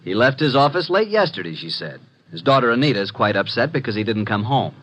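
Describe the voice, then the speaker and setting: An actor playing a breezy, wisecracking young assistant to a detective in a 1950s radio drama. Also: male voice, mid-range voice, big pitch swings, quick pace, fairly bright tone, slightly rough, rough recording.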